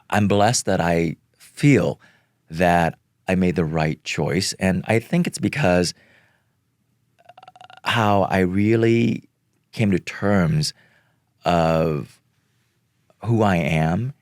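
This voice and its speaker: A middle-aged man from Vietnam, Vietnamese his first language, speaks in a very low, gravelly voice. He talks slowly and earnestly as an interview guest, with many pauses and fillers. The sound is dry and close. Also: no echo. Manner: slow, earnest, pausing often with fillers. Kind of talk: reflective interview talk.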